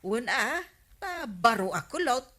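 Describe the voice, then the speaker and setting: An actress playing a vain, boastful village housewife in a radio comedy drama, with an Ilocano accent and drawn-out exaggerated delivery. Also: female voice, a little high, very animated, pushed and bright, exaggerated voice.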